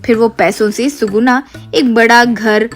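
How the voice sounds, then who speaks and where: A woman reading scripted narration for an animated moral story. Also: fairly high pitch, measured pace. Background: light music.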